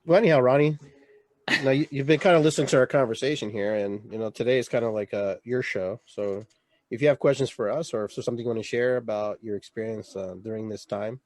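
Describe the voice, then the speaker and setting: A man hosting a casual video-call chat from his laptop, relaxed and welcoming. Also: mid-range voice, fast, no room sound. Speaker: host.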